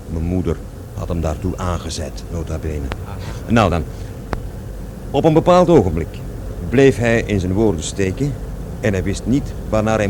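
A man's voice: low voice, noisy background. An actor in a radio play playing an aggrieved, rambling man who recalls his past in conversational, self-correcting speech. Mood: wry, aggrieved. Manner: digressive, self-correcting, repeats words emphatically.

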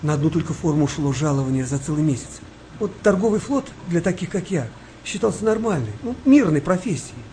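An actor playing a kind, weary wartime sailor nearing fifty in a radio play, speaking gently and reflectively with a wry touch. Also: male voice, a little high, animated, a little fast, a little hiss or hum, close to an everyday voice, low-quality recording. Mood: regretful. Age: middle-aged. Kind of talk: naturalistic radio play dialogue.

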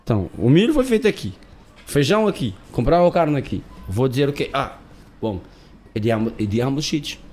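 A man's voice: medium pitch, big pitch swings, fairly quick, hardly any pauses, darkish tone, faint music behind. A man from Cape Verde, a guest on an interview, who talks emphatically and repeats words while searching for them. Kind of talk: interview conversation.

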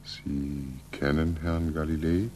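An actor playing a suspicious, prying watcher in a 1940s radio play. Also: male voice, a bass voice, little pitch movement, slow pace, a little mellow, soft background music. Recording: noisy 1940s radio recording.

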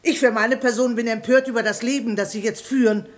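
An actress playing a sharp-tongued, sensible middle-aged wife in a 1960s German radio comedy, nagging and scornful. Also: female voice, a little high, fairly even intonation, fairly quick, lightly acted.

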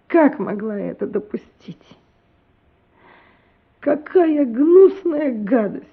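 An actress playing a loving, anguished gentry mother in a radio play, earnest, measured and emotional. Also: high voice. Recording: muffled, phone-like radio play recording.

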